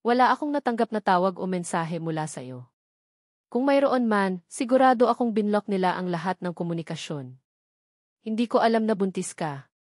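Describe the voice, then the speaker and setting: A woman's voice: mid-range voice, expressive, moderate pace, dry recording, clean studio sound, natural voice. A woman voicing a bewildered, regretful male former lover in a narrated love story, earnest and pleading.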